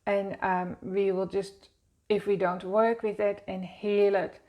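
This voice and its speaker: A woman with a Dutch accent guiding a meditation lesson in a slow, gentle, soothing way, seated and speaking to camera. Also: medium pitch, level tone, highs cut off. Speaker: wellness teacher. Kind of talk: guided meditation teaching. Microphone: seated at a desk, speaking to camera.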